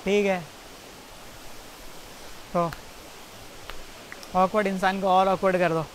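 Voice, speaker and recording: Young Indian comic, twenties, awkward and self-mocking, swearing, talking to camera outside, distant mic, faint hiss. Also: male voice, sits high, level tone, slow. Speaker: stand-up comedian. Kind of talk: rambling outtakes to camera, then stand-up set. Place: outdoors by a jungle stream.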